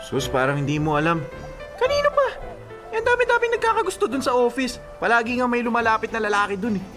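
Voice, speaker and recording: Mapang-asar na barkada, napakasigla ng tono. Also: male voice, very high-pitched, fast. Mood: teasing. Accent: Filipino. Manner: teasing, coaxing, expressive. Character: easygoing, teasing young male office buddy. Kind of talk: Filipino radio drama acting. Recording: music bed underneath.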